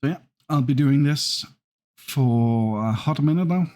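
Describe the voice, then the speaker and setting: Slow-talking Scandinavian man with Irish traces. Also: medium pitch, a little mellow, soft background music. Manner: hesitant, many ums and restarts, unhurried. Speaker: gaming YouTuber. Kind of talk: casual gameplay commentary.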